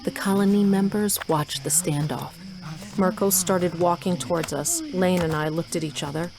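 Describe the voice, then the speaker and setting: A woman's voice: on the low side, steady tone, moderate pace, noisy background, close to an everyday voice. A middle-aged woman playing a determined, wry mother who narrates her own adventure in an audio drama. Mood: tense, wry. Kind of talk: first-person scripted narration.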